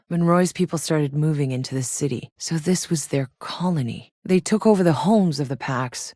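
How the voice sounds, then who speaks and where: A woman's voice: low-pitched, darkish tone, dry recording, studio quality, natural voice. An actress playing a tough, sardonic female soldier and explorer in a sci-fi video game, blunt and matter-of-fact. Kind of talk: scripted video game character voice lines.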